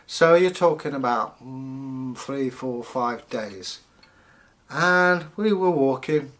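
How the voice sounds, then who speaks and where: A man in the persona of a Viking storyteller, telling folktales in short phrases with theatrical pauses. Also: mid-range voice, pushed and bright. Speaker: channel host and storyteller.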